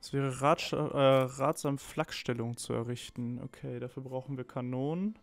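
A man's voice: medium pitch, fairly even intonation, normal speed, creaky, faint music behind. A man casually chatting while playing a strategy game, thinking aloud with many restarts, a little under the weather. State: slightly ill, a bit hoarse. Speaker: let's play co-host. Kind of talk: casual gameplay chat.

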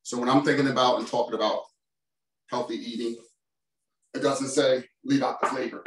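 A man's voice: medium pitch, little pitch movement, moderate pace. A man in his forties, a chef giving a casual, enthusiastic cooking demonstration in a home kitchen and talking straight to his viewers. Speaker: chef presenting a cooking demo. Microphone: far camera mic. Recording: roomy kitchen sound, mic at a distance.

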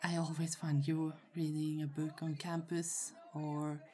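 A young woman playing a shy, friendly college student in an ASMR roleplay, speaking in a hesitant, halting, giggly way. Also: deep voice, flat delivery, warm tone. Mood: friendly, flustered. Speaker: voice actor in roleplay audio. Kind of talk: ASMR roleplay, acted casual conversation. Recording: faint crowd chatter behind. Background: party crowd murmur.

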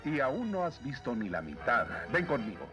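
A man's voice: medium pitch, wildly swooping pitch, moderate pace, over music. A man playing a stern cartoon overseer of slave mines, brusque and commanding, with a Latin American Spanish accent. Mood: worried. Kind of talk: dubbed animated series acting.

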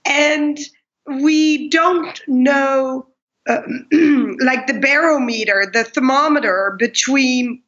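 A middle-aged woman speaks in a bright, slightly high voice, reflecting earnestly on her past. The audio is dull and lo-fi, as from a remote interview call. She talks in a calm, conversational way. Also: slow. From Pakistan. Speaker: guest, kindness speaker.

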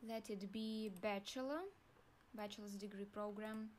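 A young woman with a Russian accent, calmly walking viewers through an online form in a tutorial. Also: mid-range voice, slight background noise. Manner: slow, deliberate, pauses while clicking. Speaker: university staff guide. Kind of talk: screen-recorded tutorial walkthrough.

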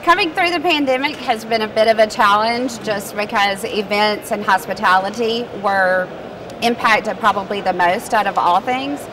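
A woman's voice: medium pitch, normal speed. A woman who manages an expo, answering interview questions in an enthusiastic, promotional way on a convention floor. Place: convention hall floor, indoors. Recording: distant mic with faint hall noise.

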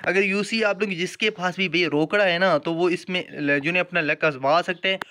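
Casting: man, high forceful voice, chatty game-event explainer with repeated filler tags. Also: steady tone, normal speed. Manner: chatty, repetitive verbal tags, addresses viewers affectionately. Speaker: gaming channel host. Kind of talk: casual game event walkthrough commentary.

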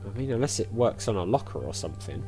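A man's voice: on the low side, animated, fast, vocal fry, dark tone, faint music behind. A chatty man giving casual let's-play commentary, thinking aloud as he goes. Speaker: gaming YouTuber.

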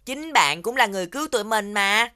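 A woman's voice: medium pitch, animated, brisk, bright, forceful tone. A woman playing a cheerful, kindly little magical creature in a children's cartoon, with a childlike, encouraging delivery. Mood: reassuring. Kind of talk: animated children's cartoon dubbing.

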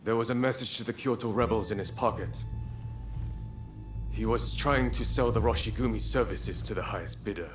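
An actor playing a stern, measured samurai commander in a video game cutscene. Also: male voice, fairly low pitch, soft background music, close to an everyday voice. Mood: grave.

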